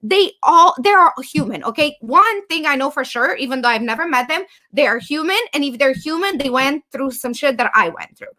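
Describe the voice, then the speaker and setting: A woman guest-hosting a podcast, her voice high and bright. She speaks in an emphatic, motivational way and drives her points home by repeating them. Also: expressive, fairly quick, few pauses. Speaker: guest host. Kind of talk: casual podcast interview.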